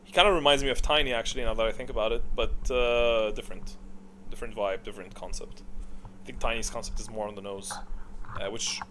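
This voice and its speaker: A man with an average-pitched voice gives off-the-cuff commentary on a ranked list. He is chatty and thinks out loud, often repeating a short phrase as he makes up his mind. A little noise sits behind his voice. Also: fairly even intonation, fast. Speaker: video creator ranking game heroes.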